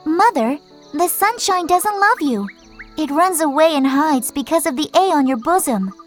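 A very high little girl's voice acted by a woman, curious and persistent in the way of a dramatized audiobook. She questions boldly and speaks her mind. Faint music runs behind her. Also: animated, normal speed. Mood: eager, curious. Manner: insistent, inquisitive. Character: curious, outspoken little girl pestering her mother.

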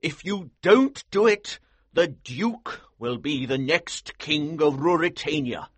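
A man voicing a forceful military colonel in an audiobook, urgent and emphatic, with a husky strained voice. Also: fairly high pitch, expressive, no room sound, pitched above the performer's own voice, heavily acted. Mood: persuading. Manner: slow, emphatic, commanding. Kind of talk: audiobook character acting.

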